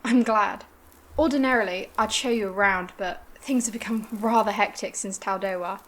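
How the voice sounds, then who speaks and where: A woman's voice: mid-range voice, normal speed, fairly bright tone, natural voice. A woman playing a gracious but harried colony administrator in a science-fiction audio drama, formal and courteous.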